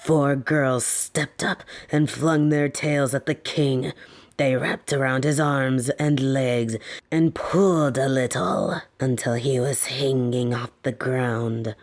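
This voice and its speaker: A woman playing a sadistic, softly menacing lamia captor in a fantasy audio roleplay, talking with deliberate, teasing bedtime-story delivery. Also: extremely low pitch, steady tone, exaggerated voice. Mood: soothing storytelling.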